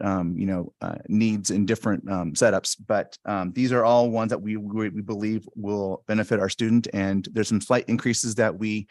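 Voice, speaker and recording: Brief: man, soft-spoken and halting, low-ish voice, board-meeting presenter on a stand mic, dry sound. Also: dry recording. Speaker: school administrator presenting fees. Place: school board meeting room. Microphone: stand microphone at a meeting table.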